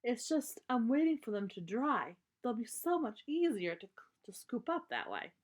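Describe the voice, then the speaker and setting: A woman's voice: on the high side, sing-song, moderate pace, pitched above the performer's own voice. A woman voicing a young girl bear cub from a storybook who pleads and complains in a whiny manner. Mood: pleading.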